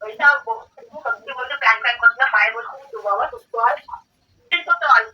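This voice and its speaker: A woman's voice: on the high side, expressive, fast. A young woman chatting casually over the phone, questioning and insistent, often repeating herself. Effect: telephone. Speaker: prank call recipient. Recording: dull distant phone line.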